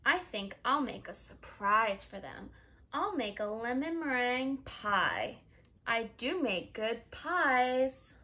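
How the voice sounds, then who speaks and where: Woman reading as a high-voiced, folksy storybook housemaid. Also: expressive, slow, bright, forceful tone, heavily acted. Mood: pleased, eager. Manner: folksy, simple, musing aloud. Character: cheerful, literal-minded housemaid, eager and puzzled. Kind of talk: children's storybook read-aloud.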